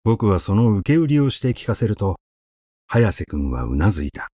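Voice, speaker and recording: Expressive mellow-voiced male story narrator. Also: fairly low pitch, moderate pace, no room sound, studio quality. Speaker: audiobook narrator. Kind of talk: literary short story reading.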